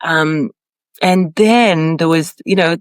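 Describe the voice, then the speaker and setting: A woman's voice: deep voice, slow pace, no echo, clean studio sound. A woman born in Ireland and raised in Australia, a podcast guest who talks in an earnest, searching way, laughing often and restarting her sentences. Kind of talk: conversational interview.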